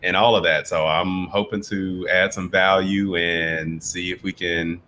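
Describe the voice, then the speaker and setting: A man's voice: low-pitched, flat delivery, measured pace, sharp tone, a little hiss or hum. A man working as a physical therapist, talking enthusiastically on a panel. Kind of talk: casual panel chat.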